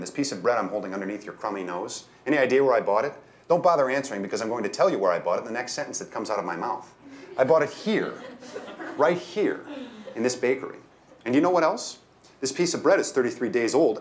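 A man's voice: mid-range voice, expressive, fast, close to an everyday voice. A man voicing a hot-tempered, sarcastic man in disguise in a storybook, with a pushy, indignant, griping manner. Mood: ranting.

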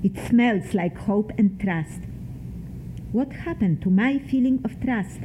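An older woman from Poland, reading her own memoir in a measured, deliberate way, lingering on single words. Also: mid-range voice, warm tone. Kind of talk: slow, measured literary reading. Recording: tinny phone-like sound, faint hiss.

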